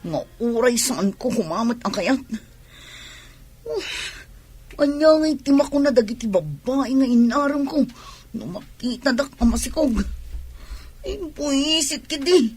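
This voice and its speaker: A woman's voice: high-pitched, animated, a little slow, long pauses, darkish tone, slightly rough, soft background music, exaggerated voice. A woman with an Ilocano Filipino accent playing a petulant, bitter young pregnant wife in a radio drama, whining and demanding. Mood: resentful.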